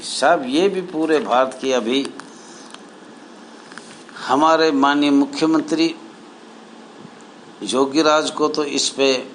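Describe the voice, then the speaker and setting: A man speaking as an association spokesman, reading out a formal numbered appeal in a halting, deliberate, earnest way. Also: fairly high pitch, poor audio. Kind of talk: formal appeal, reading numbered points aloud with added commentary. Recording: noticeable background noise.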